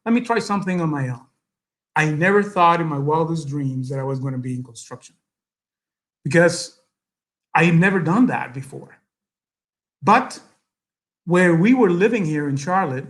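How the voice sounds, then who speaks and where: A middle-aged man from El Salvador with a Salvadoran Spanish accent, a native Spanish speaker giving an earnest speech at an event, with many pauses and fillers. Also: on the high side, animated. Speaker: guest speaker.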